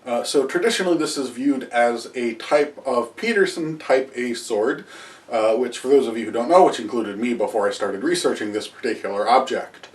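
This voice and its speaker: A man in his thirties talks a camera through a history topic at home, hesitating often with "uh" and circling back to restart phrases. His mid-pitched voice sounds a little distant from the camera. It is an informal lecture, not a scripted read. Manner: halting, many "uh" fillers and restarts, gestures with both hands. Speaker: history video host. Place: small room at home with wall hangings and shields. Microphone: camera a few feet away.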